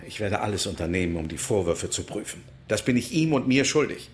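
A man plays a distinguished lawyer of about sixty in a radio drama. His low voice is measured, formal and businesslike, with music clearly behind it. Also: lively intonation, natural voice. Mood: grave, earnest.

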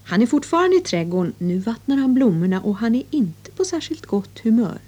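A woman's voice: on the high side, big pitch swings, dark tone, lo-fi sound, slight background noise. A woman presenting a children's English lesson with scripted narration and instructions.

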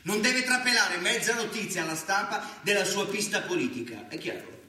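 An actor playing a condescending, bullying senior official in a radio drama, sarcastic and officious. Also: male voice, high-pitched, expressive, moderate pace, bright, forceful tone, echoey room, a little hiss or hum, exaggerated voice. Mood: stern, pressuring. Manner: sarcastic, officious, peppered with tag questions. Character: condescending, bullying male senior official pressuring police and press. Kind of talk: theatrical radio drama acting.

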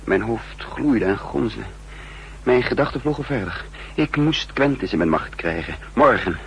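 An actor playing a tormented, anxious spy in a 1960s radio drama, brooding in halting, repetitive inner monologue. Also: male voice, medium pitch, animated, a bit bright, rough recording. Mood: haunted, brooding. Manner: brooding, halting, repeating words. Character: tormented male spy, anxious, haunted by memories. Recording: hissy, humming 1960s radio recording.

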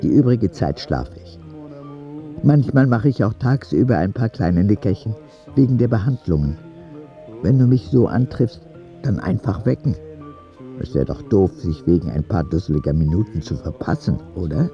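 A man's voice: fairly low pitch, expressive, moderate pace, muffled recording, soft background music. A man narrating an audio drama as a candid, vulnerable ten-year-old sick boy who confides in a naive, plain way. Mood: confiding, earnest.

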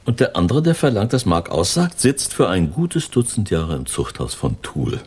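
A cynical middle-aged crook and lover in a radio crime play. His voice sits very low and is rough and husky. The delivery is sardonic and scheming, yet the intonation moves widely. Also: wildly swooping pitch, moderate pace, a little mellow, full character voice. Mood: calculating, assured. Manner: sardonic, world-weary. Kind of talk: German radio crime drama acting.

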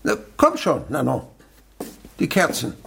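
An older man playing a miserly, gruff provincial uncle in a radio drama, blustering and wry in a husky, gravelly voice. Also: on the high side, wildly swooping pitch, a little slow, a bit bright, slight background noise, full character voice. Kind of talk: German radio drama acting.

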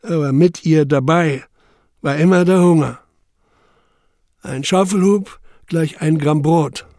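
An actor playing a young labor camp survivor who narrates in the first person, sober, measured and intense. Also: male voice, a little high, darkish tone, no echo, clean studio sound. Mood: grim.

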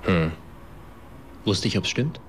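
A man's voice: sits low, expressive, takes it slowly, a little hiss or hum. An actor playing a brusque, cynical tech company man in a German radio drama, curt and sardonic. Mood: sardonic, defensive. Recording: music behind the voice.

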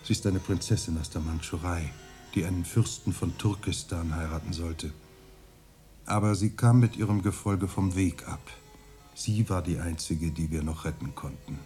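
A man playing a serene, wise monastery elder in a radio drama, speaking in a measured, courteous and discreet way. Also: sits low, mellow, faint music behind, close to an everyday voice.

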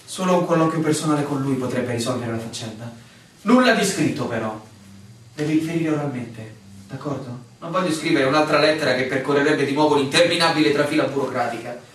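A man's voice: medium pitch, fairly quick, a bit bright, lightly acted. Actor as frustrated, insistent man in echoing space. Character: frustrated male newcomer to a village, insistent, suspicious lover. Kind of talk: radio drama acting. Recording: echoey, distant, noisy room sound.

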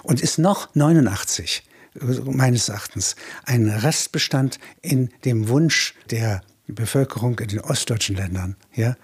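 A man with an extremely husky, breathy voice at medium pitch gives reflective commentary. He sounds conversational, working out his thoughts as he speaks. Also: a little slow. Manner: conversational, thinking aloud, tags with "ja".

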